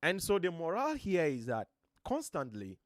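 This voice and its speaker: Earnest Ghanaian man, deep voice. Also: wildly swooping pitch, normal speed, no echo, clean studio sound. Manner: earnest, motivational, emphatic rises. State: voice slightly strained. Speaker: host. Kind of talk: live-stream talk. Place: home studio.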